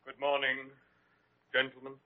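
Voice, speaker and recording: An actor playing a timid, stuffy doctor in 1940s radio comedy, fussy and formal, stammering. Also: male voice, mid-range voice, completely flat delivery, moderate pace, faint music behind, natural voice. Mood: wounded, huffy. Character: timid, prissy, stuffy doctor, easily panicked. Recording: muffled old radio recording.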